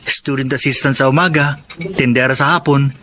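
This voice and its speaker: A man's voice: mid-range voice, fairly even intonation, moderate pace, bright, forceful tone, slight background noise, lightly acted. A man playing a kind, teasing young college student in a Filipino radio drama, with a playful manner and a Filipino accent. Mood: admiring. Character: rich, teasing, kind-hearted young male college friend.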